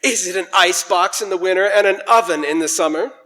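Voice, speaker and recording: A high-voiced man plays announcer for a live radio show. His delivery is bright, showy and jocular, in old-time sponsor-read style, and it rings through a large reverberant hall. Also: brisk. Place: large church, live stage performance.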